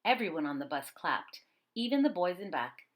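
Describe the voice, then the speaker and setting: Lower-voiced woman, very animated storybook reading. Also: moderate pace. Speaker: storybook reader. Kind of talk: expressive children's read-aloud narration.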